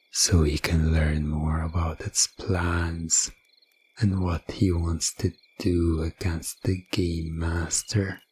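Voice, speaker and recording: A man playing a sinister, gloating hypnotist in a hushed, coaxing and commanding hypnosis ASMR drama. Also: low-pitched, slightly rough, exaggerated voice. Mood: smug, commanding. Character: sinister, gloating male hypnotist serving a game master.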